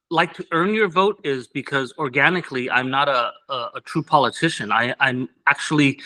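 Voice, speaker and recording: Guy, first language vietnamese, earnest, keeps restarting, bright ringing voice. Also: mid-range voice, rough recording. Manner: earnest, many restarts and fillers. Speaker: political candidate. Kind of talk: interview answers.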